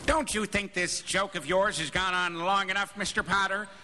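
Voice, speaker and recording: A man's voice: high voice, fairly even intonation, normal speed, pushed and bright. An actor playing an indignant office underling in a live radio drama, challenging his boss in a pointed way. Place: theater stage, live broadcast. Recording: echoey stage hall.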